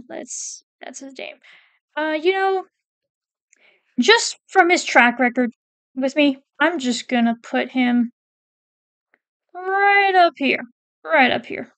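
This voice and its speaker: A woman with a high voice and a gravelly fry chats casually through a ranking, trailing off and restarting as she reads lines aloud. The sound is close and dry, with no room around it. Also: animated, slow, long pauses, a bit bright, dry recording. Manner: chatty, hesitant, self-correcting, reads quotes aloud. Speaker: PNGtuber ranking game characters. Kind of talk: casual commentary while reading a wiki.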